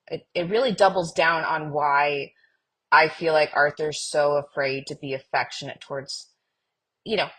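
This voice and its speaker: Husky, lower-pitched woman podcast co-host. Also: sharp tone, low-quality recording. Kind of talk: casual podcast discussion of a fiction series.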